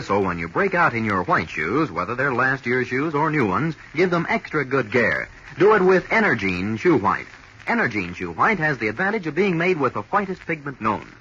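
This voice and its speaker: A man reads a 1940s radio commercial in an upbeat, selling tone, his voice bright and his intonation lively. It comes through muffled with a faint hiss. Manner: brisk, upbeat sales pitch. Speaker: commercial announcer.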